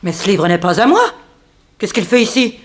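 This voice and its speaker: An actress playing a proud, composed woman under suspicion in a 1960s French radio drama, speaking in a formal, measured, self-controlled way. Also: mid-range voice, very animated. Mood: startled denial. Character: composed, proud female companion under suspicion, coolly reasoning.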